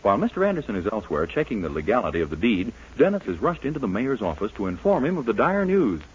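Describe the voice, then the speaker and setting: A man's voice: fairly low pitch, big pitch swings, quick pace, breathy edge, exaggerated voice. An American man playing a radio announcer, reading scripted narration for a 1940s comedy show. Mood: brisk narration. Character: brisk male radio announcer recapping a comedy. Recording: muffled, hissy 1940s radio recording.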